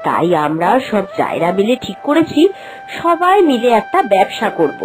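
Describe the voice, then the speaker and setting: A woman's voice: medium pitch, sing-song, normal speed, faint music behind, close to an everyday voice. A woman voicing a young village wife who speaks to her aunt in an affectionate, pleading way. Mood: caring. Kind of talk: animated cartoon voice acting.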